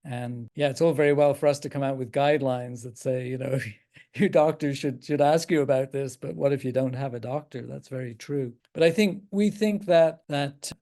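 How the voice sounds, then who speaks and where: An older man with an Irish accent, a psychiatrist speaking as a guest on a video call, thoughtful and halting with frequent restarts. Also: mid-range voice. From Ireland. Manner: thoughtful, halting with many restarts and soft laughs. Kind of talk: casual talk on a video call.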